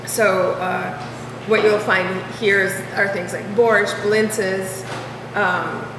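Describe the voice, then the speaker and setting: A woman's voice: medium pitch, fairly even intonation. Woman in an echoey room. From Russia. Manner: warm, halting, with many restarts. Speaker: deli co-owner. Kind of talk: interview answers. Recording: echoey hall, mic far off, noisy.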